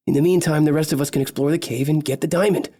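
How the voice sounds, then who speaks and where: Dude playing a young adventurer guy, kinda husky, soft and mellow, slightly higher voice. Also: steady tone, fast. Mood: earnest. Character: young male adventurer, grieving merchant's son.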